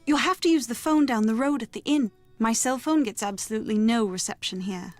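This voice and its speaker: An actress playing a well-bred young bride-to-be in a video game, talking in a proper, wordy, insistent way. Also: female voice, fairly high pitch, fairly quick, darkish tone, faint music behind, lightly acted. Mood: insistent, worried.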